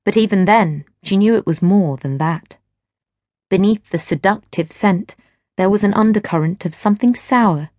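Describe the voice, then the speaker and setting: A woman reading literary fiction as an audiobook narrator, in measured, expressive storytelling. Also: mid-range voice, no echo, studio quality. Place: dry studio.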